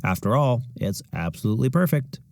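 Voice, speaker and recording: A man's voice: medium pitch, big pitch swings, fairly quick, vocal fry, no echo, studio quality. A man reading a storybook as a doting, wordy father with an emphatic, reassuring delivery. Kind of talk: children's storybook read-aloud.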